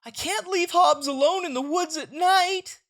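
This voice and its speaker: A woman voicing an excitable, stubborn young boy in a comic strip read aloud, with a dramatic, exclamatory manner. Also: extremely high pitch, a little slow, pitched above the performer's own voice. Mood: worried, sad. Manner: dramatic, exclamatory, whiny when upset.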